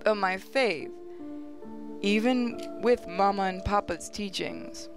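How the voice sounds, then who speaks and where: A woman gaming host reading visual novel narration aloud, stumbling over the odd word as she goes. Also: medium pitch. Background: prominent music.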